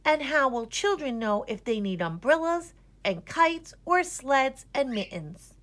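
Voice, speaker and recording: A woman's voice: high voice, very animated, a little slow, a bit bright, voice pushed up, exaggerated voice. A woman voicing an eager, bossy young girl groundhog twin, speaking emphatically in a storybook. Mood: urgent, nagging. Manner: urgent, emphatic.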